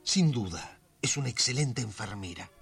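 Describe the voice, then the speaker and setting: A man's voice: mid-range voice, expressive, normal speed, vocal fry. A man acting as the solemn, suspenseful narrator of a melodramatic radio thriller serial. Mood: sly, measured. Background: music.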